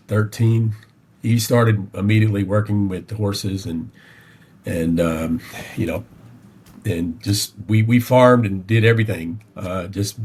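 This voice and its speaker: Low-voiced Southern man telling his story. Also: darkish tone, husky. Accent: Southern American, Tennessee. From Tennessee, United States. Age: middle-aged. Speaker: podcast guest. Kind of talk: conversational personal storytelling.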